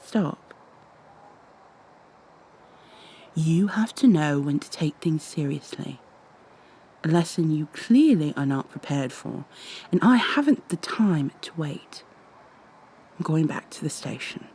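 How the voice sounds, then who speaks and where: A woman's voice: deep voice, animated, a little slow, dark tone, slightly rough, exaggerated voice. A woman playing a proud, exasperated goo girl special agent with prim, formal wording in an ASMR roleplay. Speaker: voice actor in an audio roleplay.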